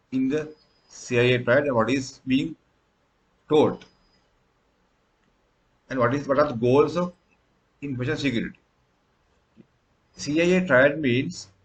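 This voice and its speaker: A man's voice: medium pitch, poor audio. A man from Sri Lanka, a native Sinhala speaker, giving a recorded tutorial lecture with frequent fillers and restarts. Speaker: instructor.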